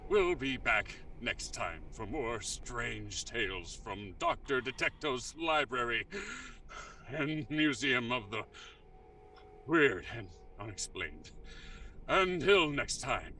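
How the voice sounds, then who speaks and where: Man as a halting, distant horror-radio announcer. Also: medium pitch, sing-song, bright, forceful tone, slight background noise, full character voice. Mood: flustered, formal. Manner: halting, throat-clearing, then portentous sign-off. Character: fussy, flustered male radio show announcer.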